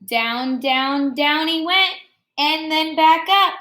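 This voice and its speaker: A woman's voice: high voice, takes it slowly, pushed and bright. A woman teaching young students, reading a story aloud and explaining it step by step in an instructional way. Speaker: elementary school teacher. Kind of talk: read-aloud lesson for children.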